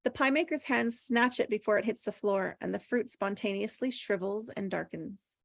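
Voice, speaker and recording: A woman's voice: mid-range voice, normal speed, creaky, dry recording. A woman with a mid-range voice reads screenplay directions aloud. The delivery is scripted narration for a table read, and the sound is dry with little room in it. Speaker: stage directions reader.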